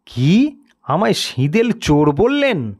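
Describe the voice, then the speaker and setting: An anxious male traveller from an audio storybook, performed by a man narrator. His pitch sits fairly high and moves around a great deal, and his manner is polite but touchy, ready to protest. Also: big pitch swings, slow, darkish tone, breathy edge, exaggerated voice. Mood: indignant. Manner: polite turning huffy and protesting. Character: indignant, anxious male night-time cart passenger telling his tale. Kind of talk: dramatised audio storybook character.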